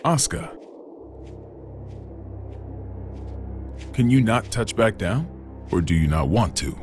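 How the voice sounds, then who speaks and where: An actor playing a blunt, agitated older president who speaks with urgent disbelief in an animated game cutscene. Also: male voice, on the low side, moderate pace, soft background music. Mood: concerned. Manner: blunt, incredulous, urgent questioning.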